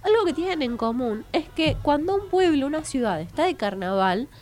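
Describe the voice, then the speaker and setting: A woman's voice: high voice, big pitch swings, moderate pace, a little mellow. A woman with an Argentine accent co-hosting an educational radio talk, speaking in a thoughtful, reflective way. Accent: Argentine Spanish. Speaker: radio co-host.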